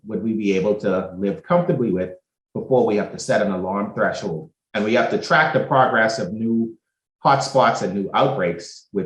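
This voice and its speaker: A man from the Bahamas speaks in a low, steady voice, laying out his points one by one in a measured way. The recording carries a noticeable roomy echo. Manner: measured, methodical, lists points in order. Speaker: doctor. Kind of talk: prepared explanatory talk.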